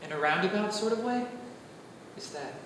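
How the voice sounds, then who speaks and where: A man's voice: high voice, animated, moderate pace. An actor playing an awkward man in love who stammers and hesitates, heard distant in a roomy echo with background noise. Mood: tender. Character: awkward man in love, writer of her program. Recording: distant, echoey, noisy.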